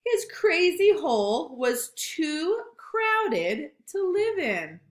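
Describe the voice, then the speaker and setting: A woman reading a picture book aloud to children, slow and expressive, stopping to ask questions. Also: high-pitched. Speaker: read-aloud storyteller. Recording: roomy echo.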